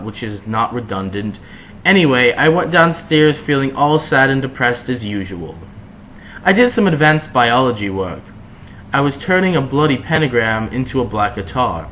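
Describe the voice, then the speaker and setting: A man with a bright, forceful voice delivers a mock-serious dramatic reading. He is exaggerated and theatrical and slips in sardonic asides. There is a faint noise behind him. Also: medium pitch, low-quality recording.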